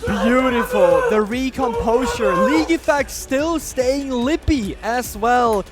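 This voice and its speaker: A man from Denmark, a former player, giving relaxed, analytical color commentary on an esports match, chuckling along the way. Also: extremely high pitch, slow pace, over loud music.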